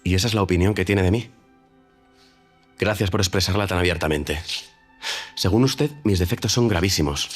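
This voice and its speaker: An actor playing a haughty, proud gentleman who speaks in a cold, formal and clipped way, with soft music under him. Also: low voice. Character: haughty proud gentleman making a stiff proposal. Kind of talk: audio drama acting.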